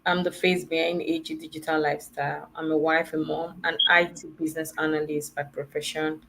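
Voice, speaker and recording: A woman's voice: deep voice, flat delivery, brisk, gravelly, background noise. A woman from Nigeria introducing herself courteously as a panel guest. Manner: courteous, formal.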